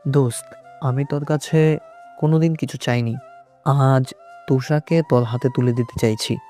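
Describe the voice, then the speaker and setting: A man's voice: mid-range voice, fairly even intonation, moderate pace, mellow, faint music behind, natural voice. A man playing an earnest young friend and brother, solemn and pleading, in a romantic audio drama. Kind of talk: romantic audio story acting.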